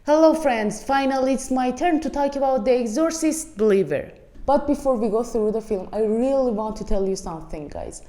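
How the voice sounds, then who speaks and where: A woman's voice: a little high, lively intonation, moderate pace, warm tone. A woman from Iran with an Iranian accent, giving a frank, blunt movie review in casual speech and speaking to viewers as friends. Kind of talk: casual spoken film review. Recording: echoey room.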